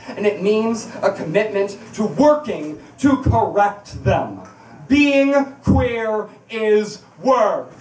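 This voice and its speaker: A woman's voice: mid-range voice, darkish tone. Woman, spoken-word performer, impassioned live reading, emphatic rhetorical delivery, echoey room, recorded from a distance. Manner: emphatic, rhetorical, confrontational, with deliberate repetition. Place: indoors at a bar, live event.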